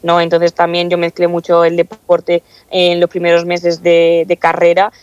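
A woman's voice: fairly low pitch, monotone, moderate pace, no echo, lo-fi sound. A woman in her early twenties with a Spanish accent from Spain, speaking earnestly as a student representative answering interview questions. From Castilla-La Mancha, Spain. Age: early 20s. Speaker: student council president being interviewed.

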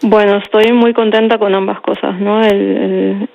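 Woman writer in her forties, even and reflective, with fry in the voice, heard on muffled radio audio. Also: medium pitch, a little slow. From Peru. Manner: reflective, often adds "¿no?" and repeats words. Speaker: writer, interview guest. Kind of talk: interview answers.

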